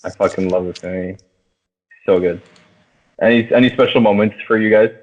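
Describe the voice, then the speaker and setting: A low-voiced man chats casually as a podcast host, slangy and sweary with an excitable manner. The sound is narrow and tinny, like a phone line. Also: normal speed. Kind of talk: casual movie discussion. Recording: thin phone-like band-limited sound.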